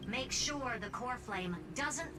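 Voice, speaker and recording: An actress voicing a brisk, sardonic, self-assured genius woman scientist in a video game. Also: a little high, lively intonation, distant mic. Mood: commanding, brisk. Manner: blunt, self-assured, dismissive. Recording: game dialogue over loud music.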